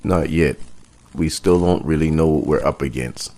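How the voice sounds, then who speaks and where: Man playing a very deep-voiced heroic leader. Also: normal speed, a little mellow, no echo. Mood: grave, resolute. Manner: grave, commanding. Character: resolute, measured male superhero team leader. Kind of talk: scripted superhero audio drama.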